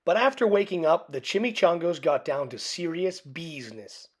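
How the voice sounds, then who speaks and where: A woman reading a children's picture book aloud in an expressive, theatrical way with dramatic pauses. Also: lowish voice, breathy edge. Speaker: storybook reader.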